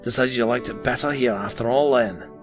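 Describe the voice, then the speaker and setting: Male actor as welcoming dad, relaxed community leader, mid-pitched, audio drama, plucked guitar music behind. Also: a little fast, lightly acted. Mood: welcoming, easygoing. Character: welcoming, easygoing father leading a community of squatters.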